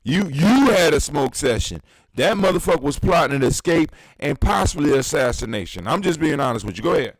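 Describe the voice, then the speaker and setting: A man in his fifties hosting a casual talk show, ranting in an animated, profane and emphatic way. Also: a little high, a little fast, dry recording, low-quality recording. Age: 50s. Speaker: podcast host. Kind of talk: casual chat.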